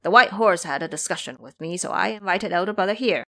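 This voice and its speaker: A woman's voice: fairly low pitch, expressive, fast talker, a bit bright. A woman voicing a chattering, complaining male pig disciple in an audio drama. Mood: explaining.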